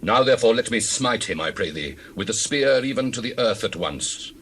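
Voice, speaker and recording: An actor playing an eager, bloodthirsty, loyal soldier in a dramatized scripture, with urgent, hard, declamatory delivery. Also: male voice, lowish voice, expressive, normal speed, fairly bright tone, slight background noise. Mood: eager, murderous. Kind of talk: dramatized scripture audio acting.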